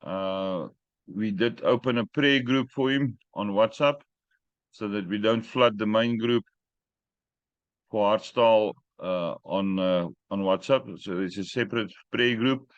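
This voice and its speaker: A man hosting a group call in English, which is not his first language, talking in a direct, plain-spoken and sometimes halting way. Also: on the low side, dry recording. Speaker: host. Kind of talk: casual group call chat. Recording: dry muffled call audio.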